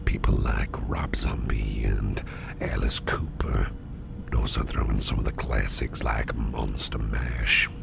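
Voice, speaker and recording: A man playing an attentive, easygoing businessman boss in a soft, breathy, whispery ASMR roleplay, unhurried and soothing, murmuring close to the microphone. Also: fairly even intonation, very noisy, heavily acted. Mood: relaxed, musing. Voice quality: very low, gravelly, breathy near-whisper. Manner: unhurried, soothing, indulgent, musing aloud.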